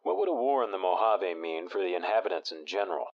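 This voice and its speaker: Man as a measured radio talk host, low voice, muffled lo-fi sound. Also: expressive, vocal fry. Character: even-tempered male radio talk show host.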